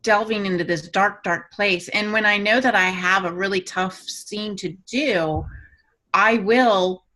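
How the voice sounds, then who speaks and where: Bright-voiced, chatty woman co-host. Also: mid-range voice, a little slow, rough recording. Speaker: podcast co-host and writer. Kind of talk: casual podcast chat.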